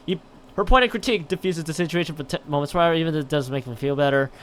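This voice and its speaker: A man's voice: a little high, very fast, a little hiss or hum. A man on a live game stream, reading a visual novel aloud with stumbles and exasperated asides. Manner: stumbles and repeats words while reading.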